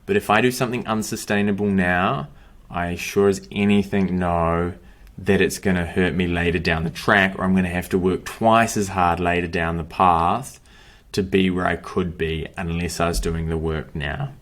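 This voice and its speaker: A man's voice: low-pitched, fairly even intonation, normal speed, a bit bright. A young man coaching runners, wrapping up a course in upbeat, motivational short phrases. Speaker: running and breathing coach. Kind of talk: course wrap-up talk to camera.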